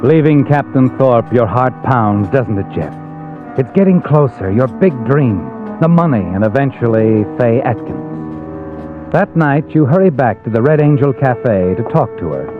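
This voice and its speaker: An actor playing an ominous, knowing narrator in a theatrical 1950s radio suspense drama. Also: male voice, mid-range voice, expressive, measured pace, close to an everyday voice. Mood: ominous, insinuating. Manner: insinuating, theatrical. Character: ominous, knowing male narrator addressing the hero as you. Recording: tinny old radio recording. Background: dramatic music.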